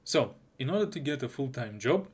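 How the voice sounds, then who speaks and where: A man's voice: mid-range voice, sing-song, husky. A young man whose first language is Russian, talking through an unscripted anime review with lots of restarts and fillers. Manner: halting, with frequent restarts and fillers. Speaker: YouTuber.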